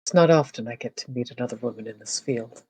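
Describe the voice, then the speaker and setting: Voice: mid-range voice, fast, darkish tone, husky, natural voice. A player voicing a wary woman investigator, speaking firmly and dryly in a tabletop game. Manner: firm, dry and warning. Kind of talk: playing a character in a tabletop game.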